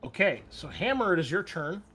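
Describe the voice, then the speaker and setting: A man's voice: high-pitched, very animated, a little fast, bright, forceful tone, husky. A man running a tabletop game, narrating action dramatically in casual, profanity-laced speech. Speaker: game master.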